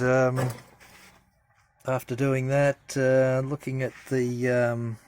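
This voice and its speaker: A man giving a casual hands-on demonstration as a hobbyist, speaking haltingly as he thinks aloud. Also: mid-range voice, darkish tone. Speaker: hobbyist radio presenter.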